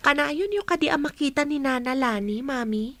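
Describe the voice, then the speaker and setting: A woman's voice: high voice, animated, normal speed, a bit bright. A woman playing a respectful, earnest young daughter in an Ilocano radio drama. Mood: questioning.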